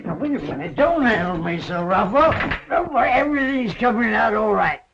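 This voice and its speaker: An excitable, flustered eccentric in a 1930s jailhouse comedy scene. He stammers effusively in a very high, gravelly voice. The soundtrack is noisy and old. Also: fairly quick, lo-fi sound. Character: excitable, fussy, stammering eccentric jail inmate, man. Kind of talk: 1930s film acting.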